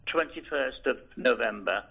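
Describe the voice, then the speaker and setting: A man in his eighties from Liverpool, England, recalling his life in a hesitant, halting way as an oral history interviewee. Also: mid-range voice, expressive, gravelly, a crowd in the background. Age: 80s. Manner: hesitant, halting, with many restarts. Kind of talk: rambling reminiscence. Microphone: telephone. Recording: over a phone line.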